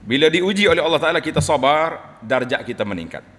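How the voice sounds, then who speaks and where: A middle-aged Malay preacher with a Kelantan accent, his fairly high voice speaking into a pulpit microphone. He climbs to loud peaks and then sinks low and quiet, and the words ring out with a long reverb through a large mosque hall. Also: brisk. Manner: animated preaching, swinging between loud rises and low drops. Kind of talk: Friday sermon. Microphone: pulpit microphone on a stand.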